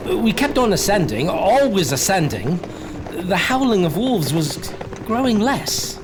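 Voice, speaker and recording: A man's voice: high voice, big pitch swings. An actor playing an anxious young English lawyer's clerk recounting his journal in a hesitant, foreboding audio drama style. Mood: tense dread. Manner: hesitant, foreboding, with halting repetitions. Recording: clear background noise, carriage ambience.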